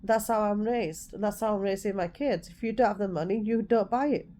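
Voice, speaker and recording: A woman's voice: medium pitch, brisk, mellow, slight background noise. A woman in her forties with an English accent touched by Scouse, telling personal stories casually to her viewers and correcting herself as she goes. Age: 40s. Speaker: vlogger. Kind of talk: casual storytelling to the camera.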